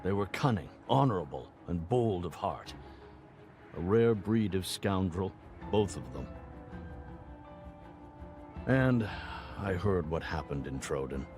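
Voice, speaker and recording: An actor playing a gracious, plain-spoken fort general in a video game, formal and declamatory in delivery. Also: male voice, low-pitched, lively intonation, takes it slowly, pauses often, full character voice. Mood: warm, somber. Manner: formal, old-fashioned, declamatory. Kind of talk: dramatic video game cutscene acting. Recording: loud music under the voice.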